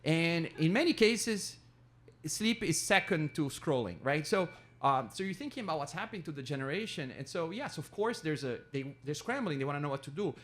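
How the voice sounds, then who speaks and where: A middle-aged man from Brazil, an economics professor and founder, answering interview questions in an animated way, with rhetorical asides and frequent restarts. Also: a little high, a little fast, a little hiss or hum.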